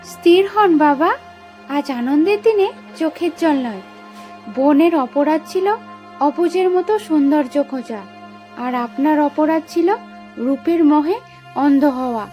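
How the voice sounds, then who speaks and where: A woman acting a very high, gentle princess. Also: a little slow, pauses often, faint music behind. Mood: consoling. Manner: earnest, composed, consoling. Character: gentle, forgiving young princess with noble resolve. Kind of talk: fairy-tale cartoon acting.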